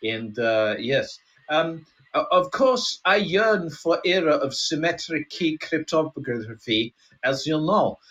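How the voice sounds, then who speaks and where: A man acts out a retired Soviet spy in an improvised comedy sketch. He rambles in broken English in a somewhat high male voice, with a mock Russian accent that can wander into Scottish. He sounds sleepy and nostalgic, hesitating as he goes. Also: expressive, a bit bright, muffled recording. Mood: wistful, earnest. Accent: mock Russian, sometimes slipping into Scottish. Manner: halting, rambling, broken English with dropped articles. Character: retired Russian KGB lieutenant colonel, sleepy, nostalgic, comic. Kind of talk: improvised comic role-play.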